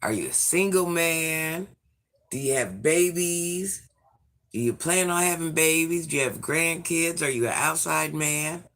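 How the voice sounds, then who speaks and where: Gravelly, hoarse man chatting live. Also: a little high, measured pace, fairly bright tone. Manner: sassy, chatty, addresses viewers by name. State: hoarse from illness. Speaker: livestream host. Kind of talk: casual livestream chat reading comments.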